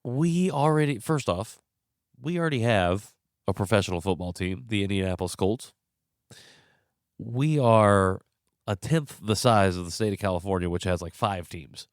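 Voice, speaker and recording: A young man talks into a close podcast mic, congested and a little worn out. His voice sits low and smooth, and the room sounds dry. He rambles frankly from one thought to the next. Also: normal speed, no echo. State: stuffed up, congested. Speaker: podcast host. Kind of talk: casual solo commentary.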